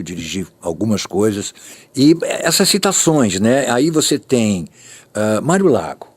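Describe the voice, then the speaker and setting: A man's voice: on the low side, a little mellow, husky, dry recording. Older Brazilian man, close dry sound. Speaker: interviewee, veteran of radio and theatre. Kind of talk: casual reminiscing conversation.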